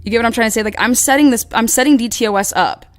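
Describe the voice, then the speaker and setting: A woman guest in an interview telling a long story in a casual, animated way and acting out quoted dialogue. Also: a little high, rapid-fire. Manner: rambling with frequent restarts.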